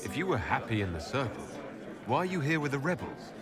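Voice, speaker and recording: A man playing a composed, inquisitive elven leader in a fantasy game, measured and questioning. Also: mid-range voice, sing-song, lightly acted. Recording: music behind the voice.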